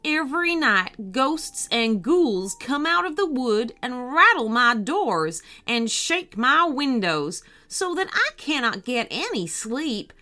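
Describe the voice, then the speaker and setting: A woman voicing a sad, tired, soft-hearted farmer with a slow, mournful way of talking in a children's story. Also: high-pitched, big pitch swings, heavily acted.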